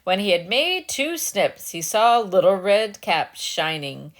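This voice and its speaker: A woman's voice: mid-range voice, big pitch swings, slow pace. A woman storyteller giving a dramatic scripted narration of a fairy tale.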